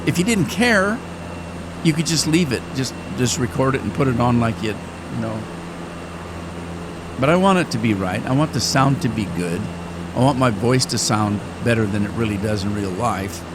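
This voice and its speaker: An older man talking casually while playing a game, rambling with pauses and restarts. He says his voice has grown deeper and cracks more with age. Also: lively intonation. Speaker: gaming channel host. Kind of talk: casual gameplay commentary. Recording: engine noise behind the voice. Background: flight simulator engine noise.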